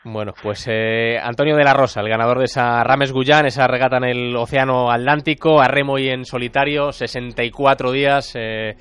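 Male radio host, mid-pitched, smooth voice, hesitant long questions, dry studio sound. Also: fairly even intonation, fairly quick, hardly any pauses, no room sound. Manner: brief backchannels, wraps up warmly with wishes. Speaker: radio interviewer. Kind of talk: radio interview.

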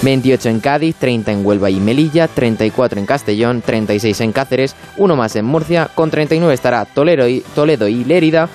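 A man presents the radio weather, briskly reading out lists of temperatures and trading light remarks with the host. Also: mid-range voice, a little mellow, soft background music. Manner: brisk, reads lists of figures. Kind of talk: radio weather report with banter.

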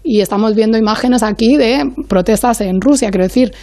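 A woman speaking as an academic guest on a panel, in a measured, analytical way, often searching for her next word. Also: on the high side, dark tone, no room sound.

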